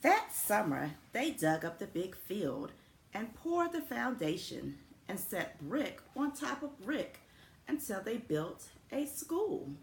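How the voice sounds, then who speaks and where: Woman principal for children's read-aloud: slow, expressive, lively intonation, echoey room with faint noise. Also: medium pitch, vocal fry. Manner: deliberate, expressive, speaking simply to young children. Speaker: elementary school principal reading aloud to students. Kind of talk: children's storybook read-aloud with friendly asides. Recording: roomy echo with faint background noise.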